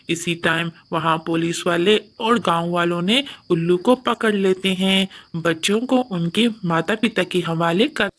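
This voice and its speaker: A woman reading a scripted children's story as its narrator. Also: lowish voice. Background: music.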